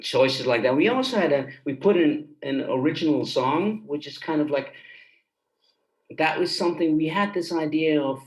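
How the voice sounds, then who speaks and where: A man's voice: a little high, lively intonation, moderate pace. A man from Germany talking casually as an interview guest, explaining things with enthusiasm as a film director and composer. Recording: roomy, echoey sound.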